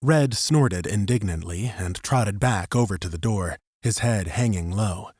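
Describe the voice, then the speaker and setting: Casting: male audiobook narrator, lowish voice, scripted story narration, close dry sound. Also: measured pace, a little mellow, slightly rough, no echo.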